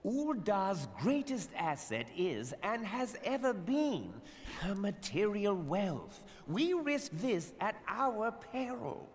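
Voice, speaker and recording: A man's voice: high voice, sing-song, slow pace, exaggerated voice. A man playing a smug, scheming, wealthy merchant lord in a video game, speaking in a haughty, mocking, oratorical manner. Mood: smug, dismissive. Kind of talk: theatrical video game voice acting. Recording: reverberant hall, light background noise.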